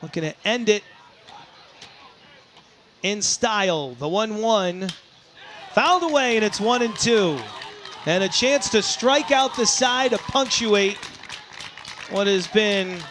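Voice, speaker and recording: A man's voice: high-pitched, slow pace, long pauses, a crowd in the background, noisy background. A man doing live baseball play-by-play as the announcer, in short, emphatic phrases. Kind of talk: live sports play-by-play commentary.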